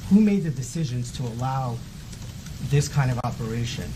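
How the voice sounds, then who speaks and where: A man questioning someone in a broadcast interview with deliberate, measured phrasing. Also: mid-range voice, lively intonation. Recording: clearly noisy ambient background.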